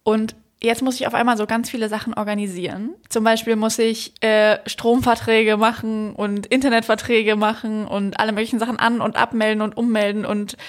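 A woman in her twenties co-hosting a casual chat, chatty and quick to laugh, dropping English words into her talk. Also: on the high side, level tone. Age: 20s. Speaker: podcast co-host.

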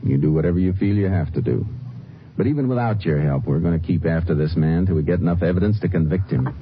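An actor playing a calm, reassuring Texas Ranger, firm and plain-spoken, in a 1950s radio drama. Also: male voice, low voice, quick pace, slight background noise, close to an everyday voice. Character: steady, reassuring male Texas Ranger lawman. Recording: dull old radio recording.

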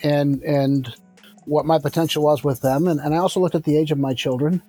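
A man in his sixties, a company founder, answering interview questions in a measured, thoughtful way with frequent fillers and restarts. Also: fairly high pitch, fairly even intonation, mellow, breathy edge, faint music behind. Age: 60s.

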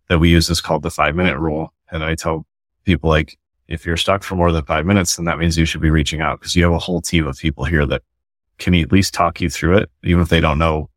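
A man's voice: low-pitched, no echo, clean studio sound. A man, a guest author and company founder, explaining his ideas earnestly in a casual podcast conversation and often restarting his sentences. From United States.

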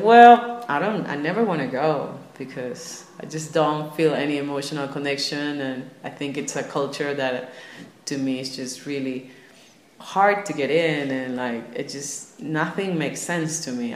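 A woman's voice: low voice, normal speed. Young lady, chatting about herself, echoey room w/ a bit of background noise. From Lima, Peru. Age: young adult. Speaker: podcast guest, traveler. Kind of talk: casual personal storytelling. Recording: roomy echo with faint ambient noise.

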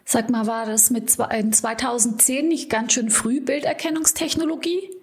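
A woman's voice: a little high, fairly even intonation, normal speed. A woman hosting a business podcast, asking her guest questions in a friendly, curious way. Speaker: podcast host. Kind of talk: interview hosting.

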